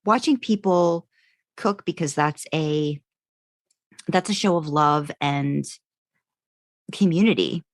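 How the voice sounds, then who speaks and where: A woman's voice: low voice, slow, pauses often, no room sound, clean studio sound. A woman co-hosting a podcast in casual chat, talking in loose, trailing thoughts. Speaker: podcast co-host. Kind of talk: casual chat about fan fiction.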